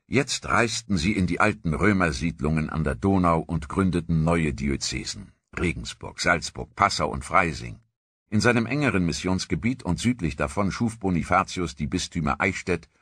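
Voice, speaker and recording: A man narrating a history documentary from a script in a measured, grave storytelling manner. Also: low voice, lively intonation, fairly bright tone, slightly rough, no room sound.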